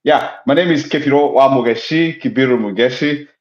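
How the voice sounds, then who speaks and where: A man from Kenya, a guest explaining his ideas in a measured, reflective way over a remote call. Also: medium pitch. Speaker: guest, business owner. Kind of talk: casual interview talk. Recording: echoey, dull lo-fi audio.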